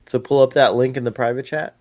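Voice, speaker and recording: Excitable rambling man on a live stream. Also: medium pitch, fast talker, no room sound. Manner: excitable, rambling, repeats himself. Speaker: stream host. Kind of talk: casual live-stream chat.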